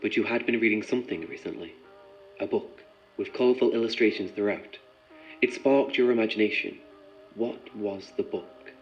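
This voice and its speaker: A man's voice: on the low side, moderate pace, natural voice. An actor playing a composed, methodical male researcher in an audio drama, speaking in a clinical, probing, precise way. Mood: coaxing, guiding. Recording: muffled sound with music behind.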